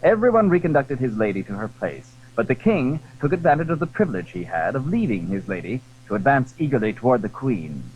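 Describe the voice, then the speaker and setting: A man narrating a classic adventure story in a dramatic, scripted style, with a husky voice. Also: medium pitch, big pitch swings, brisk, hardly any pauses, a little mellow, a little hiss or hum, low-quality recording.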